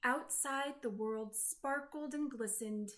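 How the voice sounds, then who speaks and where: A woman reading a children's story aloud in an expressive, deliberate, dramatic way. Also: sits high. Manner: deliberate, dramatic delivery with acted-out sound words. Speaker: storybook reader.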